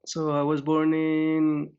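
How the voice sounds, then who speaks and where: A man from Colombia speaking as an interview guest, modest and soft-spoken, often repeating words as he talks. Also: on the high side, completely flat delivery, slow, clear, resonant tone, highs cut off. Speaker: guest, tea adviser. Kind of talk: casual interview.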